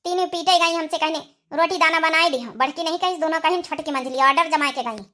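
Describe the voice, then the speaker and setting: A woman's voice: very high voice, fast, bright, forceful tone. A woman voicing a weary old village mother-in-law in a comic cartoon, complaining and muttering with an Awadhi accent. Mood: aggrieved.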